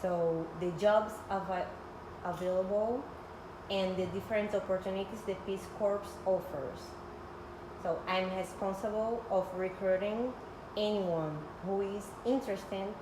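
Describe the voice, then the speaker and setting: A woman's voice: mid-range voice, steady tone, a little slow, reverberant, background noise. A woman working as a recruiter, asking interview questions with small slips and restarts. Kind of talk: mock job interview.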